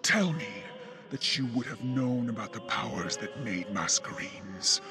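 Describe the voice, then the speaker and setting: A man's voice: medium pitch, sing-song, a little slow, music in the background. An actor playing a fanatical cult herald in a fantasy game, preaching devotion to his lady in grand, zealous oratory. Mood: indignant, zealous. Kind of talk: dramatic fantasy game voice acting.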